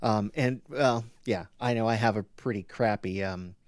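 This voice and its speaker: A man casually hosting a live open mic webcast, with a halting delivery that gets easily sidetracked. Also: fairly low pitch, no room sound, clean studio sound.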